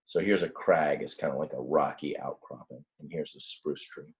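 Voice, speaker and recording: A man's voice: rumbling low, moderate pace. A man reading a children's book aloud expressively, like a teacher, with simple, casual explanations along the way. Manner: explains words simply in plain asides. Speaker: read-aloud storyteller, teacher.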